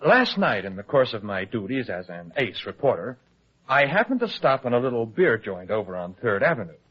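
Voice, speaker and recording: Male newspaperman, cocky and glib, very animated pitch with a husky edge, vintage radio drama. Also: a little fast. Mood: eager, theatrical. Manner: glib, teasing, theatrical. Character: cocky, wisecracking male tabloid reporter, eager and theatrical. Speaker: newspaper reporter. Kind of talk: old-time radio detective drama acting. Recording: dull lo-fi old radio recording.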